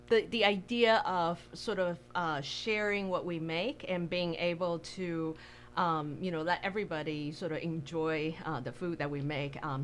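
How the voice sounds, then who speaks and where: A woman from Taiwan telling her story casually as an interview guest, halting and thinking aloud. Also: low voice, slight background noise. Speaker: interview guest, bakery co-owner.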